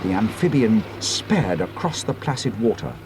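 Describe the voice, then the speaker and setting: Male narrator, audiobook adventure, measured, animated pitch. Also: very noisy. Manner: measured storytelling.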